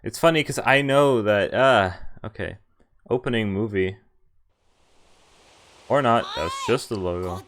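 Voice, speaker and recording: A man streaming a visual novel, reading its text aloud and chatting in a halting, thinking-aloud way. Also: fairly low pitch, a bit bright.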